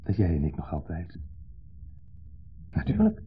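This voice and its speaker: Wistful divorced man, low husky voice, halting and sentimental, 1970s radio drama on a muffled recording. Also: huge pitch swings, exaggerated voice. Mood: intense, brooding. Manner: halting, reflective, speaks in short fragments.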